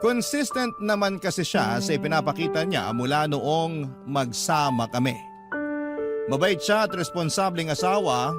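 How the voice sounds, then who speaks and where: A man with a slightly high voice reads a love story letter in a radio storytelling style. Loud music plays behind him. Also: big pitch swings, a little slow. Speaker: radio host reading listeners' letters.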